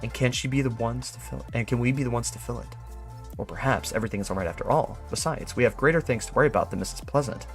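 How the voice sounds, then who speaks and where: A man voicing a brooding, suspicious employee, reading an eerie memo in a measured, musing and ominous way. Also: mid-range voice, soft background music, lightly acted. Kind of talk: dramatic reading of a written note.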